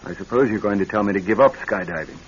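An actor in a radio drama playing a nervous young married executive troubled by bad dreams, speaking in a halting, earnest way. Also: male voice, fairly low pitch, natural voice. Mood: anxious, defensive. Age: young adult. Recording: dull band-limited old radio recording.

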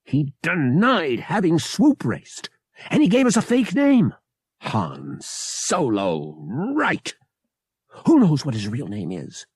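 A man's voice: a little high, huge pitch swings, slow, creaky, darkish tone, breathy edge, no echo, studio quality, pitched above the performer's own voice, full character voice. A man voicing an indignant, suspicious young brother who argues in an emphatic, exasperated way. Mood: accusing. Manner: emphatic, argumentative.

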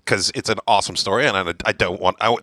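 A man hosting a podcast, chatting and telling a family story at a quick, lively clip. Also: fairly low pitch, no echo, studio quality. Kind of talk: casual chat.

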